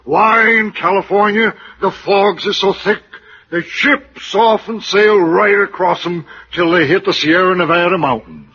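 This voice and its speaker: Husky, slow-drawling American frontiersman. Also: high-pitched, sharp tone, exaggerated voice. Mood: boastful, folksy. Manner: drawling, exaggerating storyteller. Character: folksy boastful American frontiersman spinning tall tales. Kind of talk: 1940s radio comedy acting. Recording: muffled vintage 1940s radio recording.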